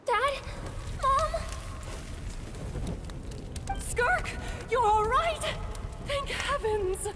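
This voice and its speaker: A woman's voice: very high voice, lively intonation, drawn-out words, pauses often, noisy background, exaggerated voice. A woman voicing a frightened, pleading young girl in a dramatic video game scene. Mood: anxious relief. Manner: pleading, distressed.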